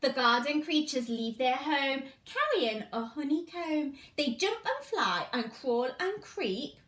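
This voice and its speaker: High, swooping woman storyteller. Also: takes it slowly. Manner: animated, sing-song, exaggerated emphasis. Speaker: teacher reading a storybook to young children. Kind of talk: expressive children's storytelling. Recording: roomy, echoing indoor sound.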